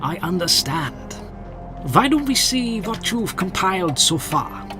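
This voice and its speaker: An older gentleman vampire hunter, acted by a man, courtly and stern in a theatrical audio drama. He speaks slowly and grandly in a fairly high male voice that swings expressively, over music. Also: big pitch swings. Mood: courtly, warm. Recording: music under the dialogue.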